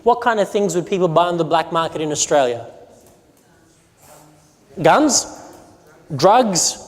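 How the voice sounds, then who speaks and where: A man's voice: a little high, animated, measured pace. A man from Romania, a pastor, giving a sermon presentation, telling stories and asking the audience questions. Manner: storytelling, asks the audience questions. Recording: long hall reverb.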